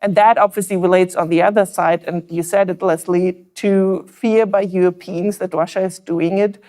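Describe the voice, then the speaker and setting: A woman's voice: lowish voice, steady tone, normal speed, warm tone. German-accented woman on a policy panel. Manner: earnest, analytical, with frequent fillers and restarts. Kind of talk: panel discussion remarks.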